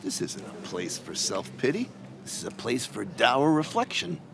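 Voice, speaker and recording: A dry, composed video game figure at a wake. His medium-pitched voice is formal and measured, with a wry edge. Ambient noise is clearly heard behind him. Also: huge pitch swings, a bit bright, exaggerated voice. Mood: solemn, wry.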